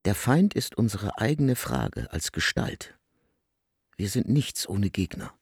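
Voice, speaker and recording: A cold, lecturing old jurist. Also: male voice, lowish voice, big pitch swings, breathy edge, no room sound, clean studio sound, full character voice. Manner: measured, lecturing, evasive. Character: aging male jurist, cold and self-justifying. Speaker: actor in a radio drama. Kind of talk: radio drama acting.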